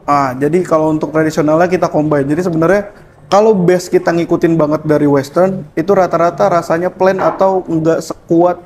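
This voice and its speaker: A man, a former hotel cook turned cafe barista, explaining at a relaxed pace with many hesitant fillers. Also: a little high, brisk, a little hiss or hum. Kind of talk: casual interview answers.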